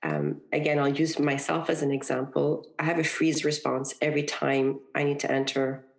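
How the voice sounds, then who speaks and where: Gravelly-voiced woman speaking earnestly. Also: deep voice, moderate pace, faint music behind. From Egypt. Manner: earnest, reflective, uses many fillers like "you know" and "right". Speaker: podcast guest, parenting coach. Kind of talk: conversational interview.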